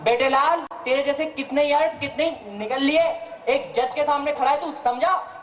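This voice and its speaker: A woman's voice: high-pitched, moderate pace, some chatter behind, close to an everyday voice. A woman acting a self-important corrupt judge in an amateur stage play. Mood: haughty, scornful. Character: haughty corrupt judge who turns wheedling. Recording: echoey stage recording with audience noise.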